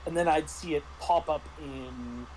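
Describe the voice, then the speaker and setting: A man speaks in a somewhat high-pitched voice. He explains things thoughtfully and haltingly, as in a casual roundtable review among gamers. A faint layer of noise sits behind him. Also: darkish tone, rough recording. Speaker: tabletop game master and guest reviewer.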